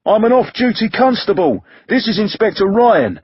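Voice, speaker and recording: A man's voice: high-pitched, big pitch swings, normal speed, husky, no echo, muffled recording, pitched above the performer's own voice, heavily acted. A man voicing an off-duty Victorian constable who speaks firmly and insistently.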